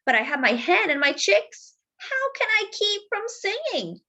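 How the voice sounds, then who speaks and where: A plucky little storybook rooster, acted out by a woman reading aloud. The voice is very high and sing-song, swooping up into crows. It is merry and will not back down. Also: big pitch swings, unhurried, sharp tone, heavily acted. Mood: merry, defiant. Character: merry, stubborn little rooster who loves singing.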